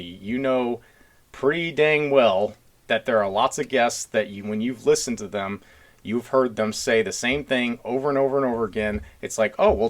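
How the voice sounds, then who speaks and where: A man hosting a casual podcast, talking in an earnest, rambling, blunt blue-collar way. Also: medium pitch. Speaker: podcast host.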